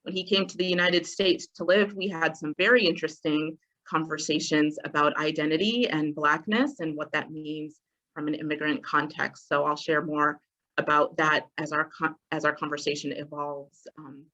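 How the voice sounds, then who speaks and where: A woman reverend moderating a video panel, gentle and conversational as she hands the turn to the next speaker. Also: low-pitched, steady tone, moderate pace, dull audio. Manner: gentle, conversational, handing over turns. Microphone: video call.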